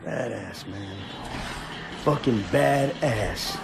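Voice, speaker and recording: A man's voice: lowish voice, extremely slow, vocal fry, over music, heavily acted. A man playing a brash, joking young soldier in a military video game cutscene. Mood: amused, impressed.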